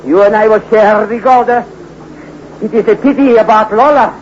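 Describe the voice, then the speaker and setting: An actor playing a scheming, treacherous village guide who speaks halting, broken English in a falsely friendly way. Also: male voice, high voice, steady tone, close to an everyday voice. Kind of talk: 1940s radio acting. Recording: muffled old radio recording with hiss.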